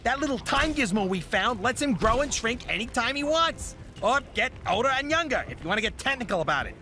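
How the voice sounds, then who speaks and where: A man's voice: very high-pitched, sing-song, fairly quick, pushed and bright, slight background noise, heavily acted. A man playing a cocky, wisecracking little raccoon mercenary in a video game, brash, sarcastic and streetwise. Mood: boastful. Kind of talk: animated video game character acting. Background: music.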